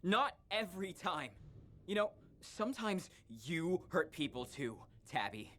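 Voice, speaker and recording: A man's voice: high-pitched, very animated, measured pace, bright, forceful tone, heavily acted. A man playing an earnest, defensive, relentlessly upbeat boy in a visual novel, easily wounded.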